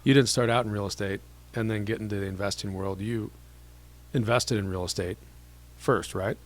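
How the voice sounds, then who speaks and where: A man's voice: lowish voice, steady tone. A man hosting a casual podcast interview, a mortgage lender with a chatty, upbeat manner. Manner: chatty, upbeat, quick affirmations.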